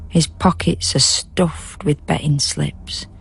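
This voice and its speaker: Wry verse narrator, low-pitched, unhurried, measured, knowing, radio drama. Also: female voice, steady tone, vocal fry, a bit bright, breathy edge, full character voice. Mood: wry challenge. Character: knowing, unsparing woman narrator, voice of conscience.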